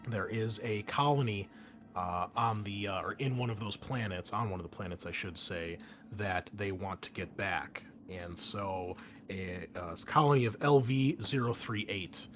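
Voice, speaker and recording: Male game master, lowish voice, conversational scene-setting for a tabletop game, faint music underneath. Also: a little slow, fairly bright tone. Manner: conversational, explanatory, improvised. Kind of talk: narrating a tabletop roleplaying game scenario. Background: background music.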